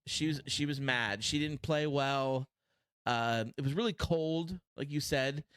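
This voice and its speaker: A man's voice: medium pitch, unhurried, a bit bright, clean tone, dry recording, clean studio sound. A man in his thirties with a Pittsburgh accent, co-hosting a podcast in a rambling, self-deprecating casual chat. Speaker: podcast co-host.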